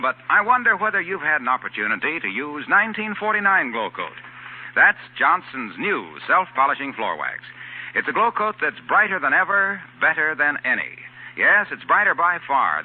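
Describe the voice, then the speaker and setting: Animated 1940s radio announcer man, tinny sound. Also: on the high side. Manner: polished, emphatic salesmanship.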